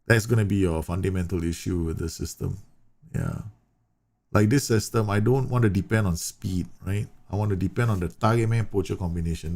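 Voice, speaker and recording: A man's voice: on the low side, creaky, a little mellow. A man from Singapore livestreaming a football management game, chatting and explaining tactics to his viewers with frequent fillers and restarts. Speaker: streamer. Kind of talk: live game commentary answering chat.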